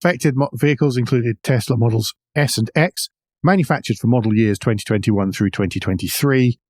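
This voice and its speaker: A man's voice: mid-range voice, brisk, darkish tone, no room sound, clean studio sound. A man co-hosting a motoring news podcast, moving between read-out items and conversational, wry asides. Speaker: podcast co-host. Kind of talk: conversational car news discussion, partly read out.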